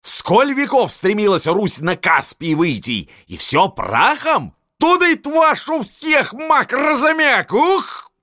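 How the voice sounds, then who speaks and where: Guy doing a bellowing old army boss, high strained yell, dead dry sound. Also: big pitch swings, takes it slowly, no echo, exaggerated voice. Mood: furious. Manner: roaring, scolding. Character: old furious field marshal, blustering, domineering.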